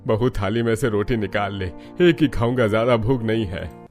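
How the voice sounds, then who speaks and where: A man's voice: on the low side, normal speed, darkish tone, faint music behind, lightly acted. A man playing an older village father-in-law and mango farmer in a cartoon story, irritable and weary in manner. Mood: grumbling. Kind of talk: Hindi cartoon story dialogue.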